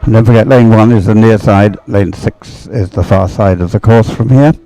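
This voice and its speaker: A man's voice: on the low side, fairly even intonation, normal speed, warm tone, rough recording. A man giving unscripted live sports commentary as a race commentator, rambling a little and thinking aloud.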